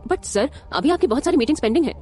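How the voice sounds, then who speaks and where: A woman's voice: sits high, lively intonation, rapid-fire, a little mellow, voice pushed up. A woman voicing a dutiful male assistant who speaks politely. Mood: deferential. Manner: polite, deferential.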